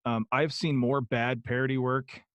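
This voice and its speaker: A man's voice: mid-range voice, little pitch movement, moderate pace, a bit bright, dry recording. A young man chatting as a podcast guest, rambling with many ums and restarts. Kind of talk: casual interview chat.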